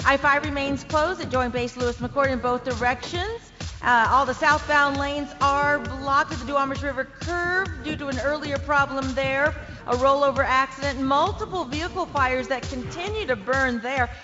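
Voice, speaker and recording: A woman's voice: sits high, normal speed, natural voice. A woman playing an uneasy radio traffic reporter in an audio drama, urgent and emphatic with conversational asides. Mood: brisk, concerned. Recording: dull lo-fi, long reverb, music underneath.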